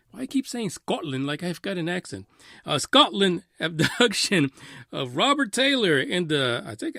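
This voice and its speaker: A man's voice: sits high, dry recording, studio quality. A man hosting a casual live talk radio show, commenting on a story in an emphatic, often sweary way. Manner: animated, emphatic, frequently swearing.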